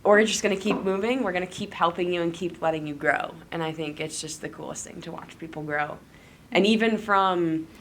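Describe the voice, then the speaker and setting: Earnest woman coach, lowish voice. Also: fairly bright tone. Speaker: fitness coach. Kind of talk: roundtable chat.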